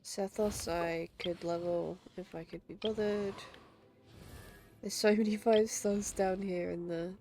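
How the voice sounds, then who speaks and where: Woman, game streamer, casual commentary, chatty self-talk, medium pitch, clear game music behind. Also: steady tone, unhurried, warm tone. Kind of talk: casual livestream gameplay commentary.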